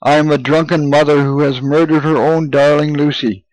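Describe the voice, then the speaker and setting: A man's voice: a little high, slow pace, no room sound, low-quality recording. A man reading aloud as a grieving drunken mother, pleading in old-fashioned speech. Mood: anguished. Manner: wailing, pleading, archaic speech. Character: grieving drunken mother, anguished, self-accusing.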